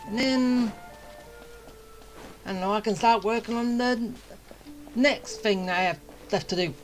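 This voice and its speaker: A woman streaming a game with casual commentary, musing aloud in a halting way. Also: a little high, rough recording. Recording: game music behind the voice.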